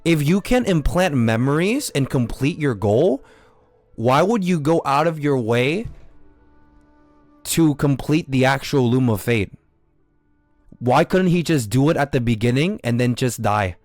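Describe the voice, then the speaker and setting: A man's voice: mid-range voice, lively intonation, a little slow, a little mellow, no echo, faint music behind. Man arguing theories on a dry-sounding stream. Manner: argumentative, repetitive, swears casually. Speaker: game live streamer. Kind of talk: unscripted gaming stream commentary.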